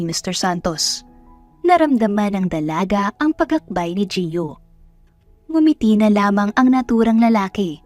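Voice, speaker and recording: A woman's voice: mid-range voice, darkish tone. A woman narrating a scripted romance audio drama as a storyteller.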